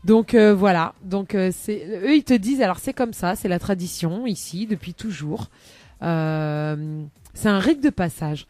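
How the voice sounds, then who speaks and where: A woman's voice: medium pitch, animated, a little slow, warm tone, dry recording. A woman chatting on a live radio show as a columnist, rambling with many hesitations and laughing often, into a studio microphone.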